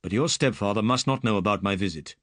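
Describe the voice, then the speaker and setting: A man doing a brisk, calm detective. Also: fairly low pitch, dry recording, lightly acted. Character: calm, clever male detective.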